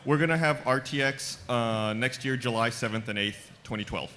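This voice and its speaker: A man's voice: medium pitch, normal speed, very noisy. A man on a live convention panel, speaking casually in a blunt, bantering way. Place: convention panel table before an audience.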